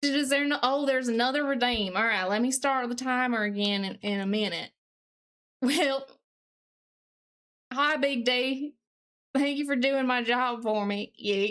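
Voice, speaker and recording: A woman livestreamer chatting casually and reading aloud in an exaggerated, put-on deep Southern US accent, with a playful, folksy manner. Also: unhurried, pauses often, fairly bright tone. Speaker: live streamer.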